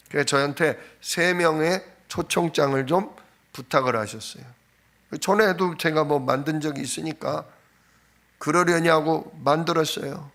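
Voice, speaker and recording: Hoarse pastor with a raised pitch. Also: male voice, a bit bright. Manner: anecdotal, informal storytelling with frequent fillers. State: hoarse from a cold. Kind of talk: conversational sermon. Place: church sanctuary.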